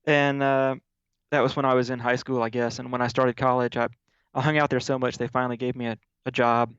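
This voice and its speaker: A man from Mississippi, a guest in an interview, telling stories in a relaxed way with many fillers over a video call. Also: medium pitch, brisk, clean tone, dry recording, highs cut off. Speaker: guest, audio software developer.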